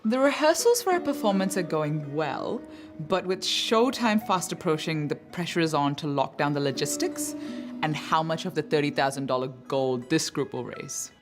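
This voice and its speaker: A woman's voice: low-pitched, expressive, normal speed, over music. A woman narrating a documentary and informally leading a group as its presenter. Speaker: youth programme leader and presenter.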